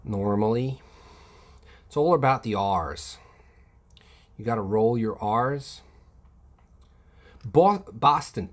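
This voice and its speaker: A man's voice: on the low side, fairly bright tone. A man talks casually to his live stream, reading out chat comments and answering them. He rambles and restarts often, filling gaps with "um" while sorting out his thoughts. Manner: rambling, many fillers and restarts; reads and replies to chat comments. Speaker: livestream host answering chat.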